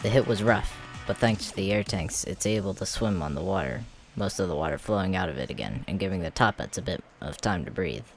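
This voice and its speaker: Male narrator, lowish pitch, dramatic scripted storytelling, faint music underneath. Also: animated, fairly quick, hardly any pauses. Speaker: narrator and voice actor.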